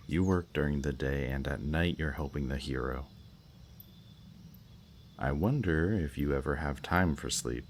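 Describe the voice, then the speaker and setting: Man acting as a very deep-voiced smug villain. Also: slight background noise, heavily acted. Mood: smug, menacing. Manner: teasing, unhurried, softly menacing. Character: villain kidnapper with powers, smug, flirtatious, falsely romantic. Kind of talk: roleplay audio drama, intimate monologue to the listener. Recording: outdoor night bird and insect ambience. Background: birdsong and crickets at night.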